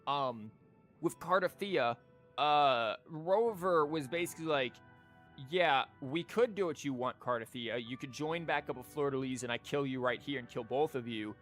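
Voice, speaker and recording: A man's voice: medium pitch, moderate pace, a bit bright, soft background music. A man livestreaming a game with casual, rambling commentary and a sarcastic, wry manner.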